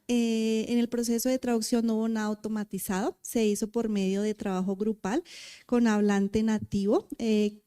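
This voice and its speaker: A woman translator answering an audience question at a conference, explaining her work quickly with frequent hesitation sounds. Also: medium pitch, dark tone, smooth. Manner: fast, explanatory, with filler "eh". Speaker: translator and researcher.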